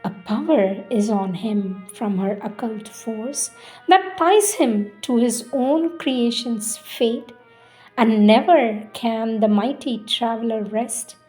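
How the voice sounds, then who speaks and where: A woman reciting spiritual poetry in a solemn, measured, deliberate way with pauses between phrases. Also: fairly high pitch, lively intonation, darkish tone, rough recording. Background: music.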